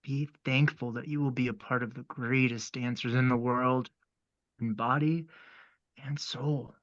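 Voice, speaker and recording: A man playing a polite, sinister cult son in a horror script read, formal and calmly menacing. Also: mid-range voice, unhurried, dry recording, dull audio, lightly acted. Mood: coldly menacing.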